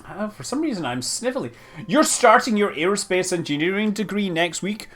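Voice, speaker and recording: A man's voice: high-pitched, animated, moderate pace, a bit bright, soft background music. A man giving casual live stream commentary as he plays, rambling, thinking aloud and exclaiming over details. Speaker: streamer playing a space building game.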